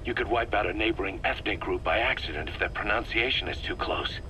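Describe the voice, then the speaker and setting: An actor playing a blunt, distrustful military interrogator in a video game, with an accusing, clipped delivery. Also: male voice, on the low side, quick pace, sharp tone. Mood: stern, accusing. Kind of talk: dramatic video game dialogue. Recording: faintly noisy cassette tape recording.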